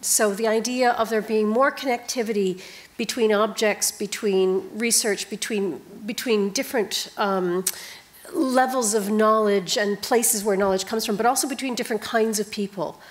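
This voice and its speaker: A woman moderating a panel in a large auditorium, posing a long question in a measured way. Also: medium pitch, steady tone. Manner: measured, frequent affirming mms.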